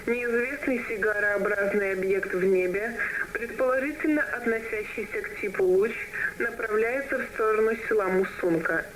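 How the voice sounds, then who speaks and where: A woman's voice: mid-range voice, normal speed, background noise, lightly acted. A woman playing a formal emergency broadcast announcer who reads urgent official warnings in an analog horror video. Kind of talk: formal scripted emergency bulletin.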